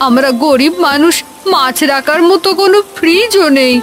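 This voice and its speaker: Lady doing a caring village mom in a cartoon, quite high voice, faint music behind. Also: normal speed, lightly acted. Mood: concerned, welcoming. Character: caring village mother and wife, reassuring, hospitable. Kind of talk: animated story character dialogue.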